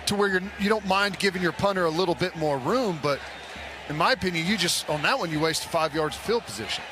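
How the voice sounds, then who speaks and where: A man's voice: high voice, fast, background noise. A man giving conversational football analysis as a color commentator in a stadium broadcast booth.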